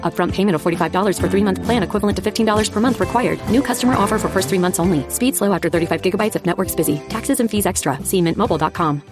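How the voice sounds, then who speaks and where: A woman reading an ad's legal disclaimer in a rushed fine-print manner. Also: mid-range voice. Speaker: ad disclaimer reader. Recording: ad with music bed.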